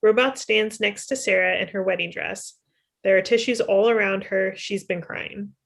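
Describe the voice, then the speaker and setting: A woman with a mid-pitched voice reads stage directions. It is scripted narration for a table read, recorded at home over a video call. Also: normal speed, vocal fry. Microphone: video call computer microphone.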